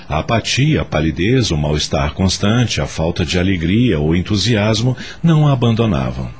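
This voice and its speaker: A man giving solemn, literary narration for a radio drama in a dramatic storytelling style. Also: lowish voice. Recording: music behind the narration.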